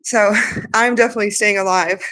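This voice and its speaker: A woman's voice: mid-range voice, steady tone, brisk, fairly bright tone. A woman channeling in light language, chanting flowing rhythmic syllables between casual remarks, her voice sounding changed and strained. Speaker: spiritual channeler.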